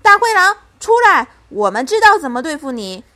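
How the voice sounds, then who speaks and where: A woman's voice: a little high, animated, moderate pace. A woman voicing a young mother in a children's storybook, tender with her child and fiercely protective, by turns coaxing and commanding. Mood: fierce, shouting.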